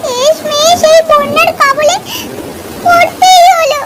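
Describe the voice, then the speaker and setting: A woman's voice: very high voice, steady tone, slow, very noisy. A woman voicing an anxious, pleading wife from a poor village in a cartoon story. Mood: anxious, fearful. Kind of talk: Bengali cartoon story dialogue.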